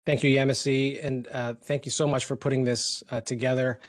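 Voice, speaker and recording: A man's voice: mid-range voice, level tone, fairly quick, no room sound, studio quality. A man from the Philippines telling colleagues his personal story, hesitant, with many fillers and restarts. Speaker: hospital executive.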